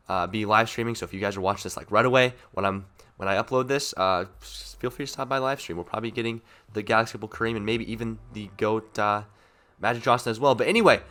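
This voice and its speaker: A young man hosting a gaming channel, talking through in-game market updates in a rapid, rambling, upbeat way while sick, so his voice sounds off. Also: on the low side, bright, forceful tone. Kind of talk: casual gaming market commentary.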